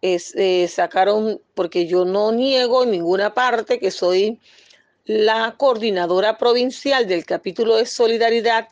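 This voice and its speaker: Woman activist, insistent and firm, dry close sound, mid-pitched. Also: measured pace, no echo, lo-fi sound. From Panama. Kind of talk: impassioned statement to press.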